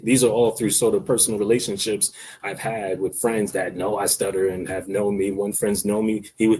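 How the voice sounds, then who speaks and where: Young guy who stutters, talks earnest and reflective, lowish voice, bit of faint hiss behind. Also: darkish tone, rough recording. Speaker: podcast guest. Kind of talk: conversational interview.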